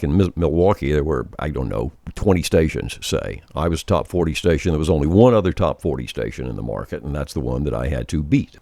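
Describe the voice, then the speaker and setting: Older male radio veteran, crackly and expressive, dry close sound, emphatic folksy explainer. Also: extremely low pitch, creaky, no room sound. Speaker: veteran radio programmer and former disc jockey presenting a series. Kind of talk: informal instructional monologue.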